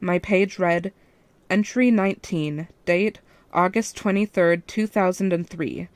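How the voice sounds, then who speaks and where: A woman's voice: on the low side, measured pace, no room sound. A woman reading a first-person fan fiction story as its narrator.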